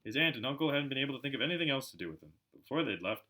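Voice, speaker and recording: A man narrating a storybook aloud in an expressive, dramatic way, as an amateur audiobook reader. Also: medium pitch, racing. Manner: animated, dramatic.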